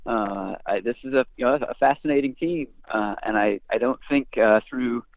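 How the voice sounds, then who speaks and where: Phone-line man, halting sportswriter. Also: medium pitch. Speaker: sports beat writer, podcast guest. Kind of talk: conversational interview answers. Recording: thin phone-like call audio.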